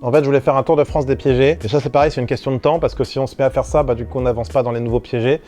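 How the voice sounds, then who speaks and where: A man hosting a prank show, chatting casually and with animation about making the series. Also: mid-range voice. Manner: animated, talks in quick bursts with restarts. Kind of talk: casual behind-the-scenes chat.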